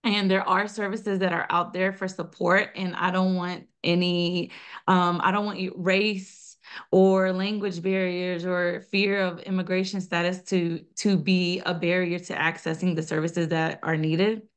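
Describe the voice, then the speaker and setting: Earnest woman, smooth voice. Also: lowish voice, flat delivery. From United States (North Carolina). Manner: earnest, halting with frequent restarts. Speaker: guest, nonprofit founder and social worker. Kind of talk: conversational interview answers.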